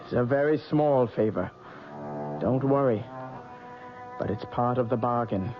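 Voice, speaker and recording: An actor playing a sinister old woman fortune teller who speaks in a soft, creepy, coaxing way, in a radio drama. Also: mid-range voice, measured pace, over music, natural voice. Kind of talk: 1970s radio drama acting. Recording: muffled old radio broadcast.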